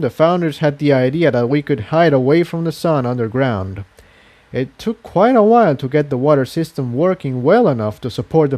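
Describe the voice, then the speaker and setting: Man as solemn male unicorn, mellow voice. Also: fairly high pitch, pitched above the performer's own voice. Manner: earnest, solemn, explanatory. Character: solemn, guilt-burdened male unicorn pony explorer.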